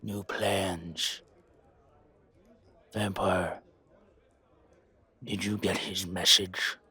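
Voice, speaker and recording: A man voicing a gruff, weary werewolf who speaks in terse, surly, halting phrases. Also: deep voice, level tone, sharp tone, no echo, heavily acted. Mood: curt, businesslike.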